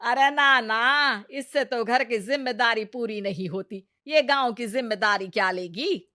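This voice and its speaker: A woman voicing a sharp-tongued, nagging mother-in-law in a Hindi cartoon story, theatrical and taunting. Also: fairly high pitch, measured pace.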